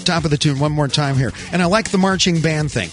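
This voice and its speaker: A man's voice: a little high, quick pace, faint music behind. A man hosting a call-in radio show, joking and rambling while he reviews songs and tells his board operator what to play. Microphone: studio microphone.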